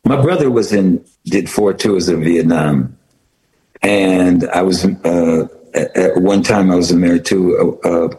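A man from the United States, a guest in an interview, who speaks in halting phrases with many restarts. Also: deep voice, dark tone. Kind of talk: casual interview talk. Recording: roomy echo, faint noise.

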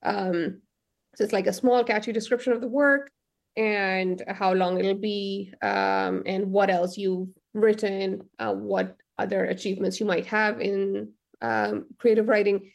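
Gravelly-voiced woman. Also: mid-range voice, steady tone, unhurried. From Pakistan. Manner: thoughtful, many ums and restarts. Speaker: novelist, radio guest. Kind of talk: radio interview answers.

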